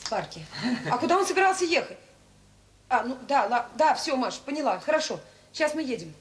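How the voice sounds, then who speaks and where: A woman's voice: high voice, very animated, fairly quick, a little hiss or hum. An actress playing a caring, weary middle-aged woman who runs a children's home, conversational and earnest in a radio drama. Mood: hurried, relieved. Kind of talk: naturalistic Soviet radio drama acting. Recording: 1980s radio drama, prominent music behind.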